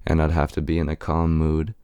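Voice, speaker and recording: A young man rapper answering interview questions in a raspy, deep voice, halting with many fillers. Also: completely flat delivery, dark tone, dry recording. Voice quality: raspy and deep right now.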